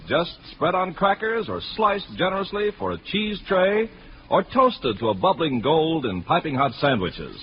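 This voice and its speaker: A man reading a 1940s radio commercial as an announcer, with a polished, salesmanlike delivery. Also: fairly high pitch. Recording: dull, noisy 1940s radio recording.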